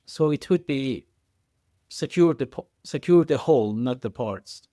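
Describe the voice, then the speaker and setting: A man speaking as an expert podcast guest in a conversational way, haltingly, often repeating words and circling through filler phrases. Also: mid-range voice, lively intonation, mellow, no echo, studio quality. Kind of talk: conversational expert interview answers.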